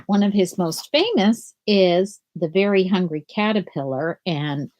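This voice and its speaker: An older woman teaching children from home over a webcam, explaining a picture book and then reading it aloud in a gentle sing-song. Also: fairly low pitch, expressive, unhurried, slight background noise. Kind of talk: children's read-aloud lesson. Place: small room at home. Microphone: laptop webcam video call.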